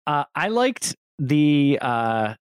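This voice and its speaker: A man's voice: on the high side, sing-song, very slow, clear, resonant tone, no room sound. Matter-of-fact man, dry close recording. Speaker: film discussion podcast co-host. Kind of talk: casual movie review chat.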